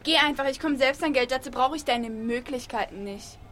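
A woman's voice: high voice, fairly quick, fairly bright tone. A woman playing a cool, defiant young songwriter in a radio play, snappy and scornful. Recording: faint ambient noise.

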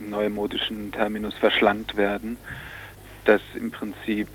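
A man's voice: fairly low pitch, monotone, unhurried, slight background noise, rough recording. A man explaining things in a radio interview, in long, winding sentences with some hesitation. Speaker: interviewed commentator on Colombian politics.

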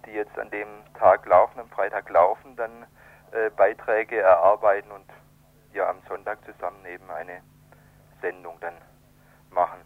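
A man being interviewed, explaining things in a halting way and searching for words, over a telephone. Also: lowish voice, dry recording. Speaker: event organiser, interviewed guest. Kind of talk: spontaneous radio interview by phone. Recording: narrow tinny telephone line.

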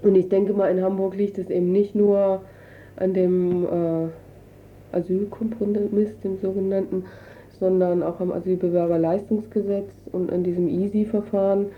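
A woman's voice: mid-range voice, little pitch movement, normal speed. A woman giving spontaneous interview explanations in a colloquial, hesitant way, searching for words as she goes. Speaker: interviewee, refugee council representative. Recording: dull lo-fi radio recording, noisy.

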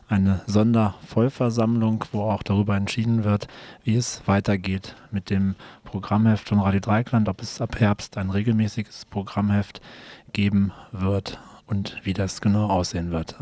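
Dark-toned, low male radio presenter. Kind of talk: informal live announcement. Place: radio studio.

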